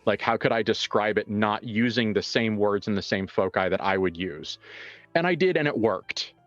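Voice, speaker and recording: Male game master, deep register, casual reflective talk with halting emphasis, dull recording with soft music underneath. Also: no room sound. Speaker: game master and writer of an actual-play show. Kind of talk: casual reflective discussion, answering questions.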